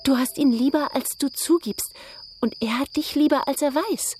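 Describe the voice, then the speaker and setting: Woman acting a headstrong, higher-voiced young sister. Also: normal speed, darkish tone, soft background music, natural voice. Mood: provoking. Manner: intense, blunt. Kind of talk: German radio drama acting.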